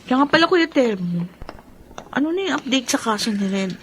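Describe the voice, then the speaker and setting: High-voiced young sister played by a woman. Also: expressive, a little fast, natural voice. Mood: welcoming, curious. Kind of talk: radio drama dialogue. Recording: noisy scene sound effects behind.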